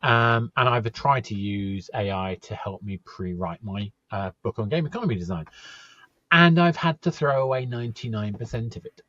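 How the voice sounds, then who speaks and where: Husky-voiced man, halting and thoughtful. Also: fairly low pitch, a bit bright, no room sound, highs cut off. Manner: thoughtful, halting, repeats words when starting sentences. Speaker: podcast host. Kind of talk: casual conversational interview.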